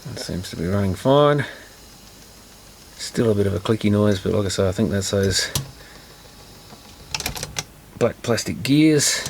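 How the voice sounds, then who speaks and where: A man with a lowish, creaky voice talks casually through hands-on repair work. He mutters partly to himself as he goes. The recording is noticeably noisy, with small clicks. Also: animated, unhurried, pauses often. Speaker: repair video presenter.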